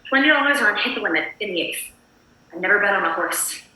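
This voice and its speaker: A woman's voice: mid-range voice, expressive, fast talker, pushed and bright. A woman playing an anxious, polite suburban housewife in a screenplay table read, prim and chirpy. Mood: fussy, apologetic. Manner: prim, apologetic, fussy. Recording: echoey room.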